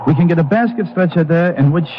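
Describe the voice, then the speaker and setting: Man playing a higher-voiced German-accented mountain guide. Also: close to an everyday voice. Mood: firm. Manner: deliberate, formal, reassuring. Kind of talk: 1950s radio adventure acting. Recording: dull old radio recording, faint noise.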